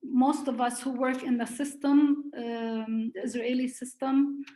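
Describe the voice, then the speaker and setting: A middle-aged woman, a therapist, offering reflective remarks in a group discussion in a hesitant, measured way. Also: high voice, steady tone, dark tone, rough recording.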